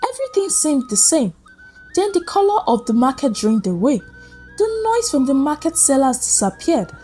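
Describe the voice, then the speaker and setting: A woman narrating a scripted folktale as a storyteller, with suspenseful, deliberate phrasing. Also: sits high, darkish tone, poor audio. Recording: music behind the voice. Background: background music.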